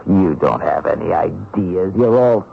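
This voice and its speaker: A man's voice: deep voice, animated, measured pace, vocal fry, breathy edge, full character voice. A man playing a miserable, weary Russian peasant vagrant in a radio drama, sullen, grumbling and plaintive. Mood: hurt, resentful. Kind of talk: old-time radio drama acting. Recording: dull, lo-fi radio drama recording.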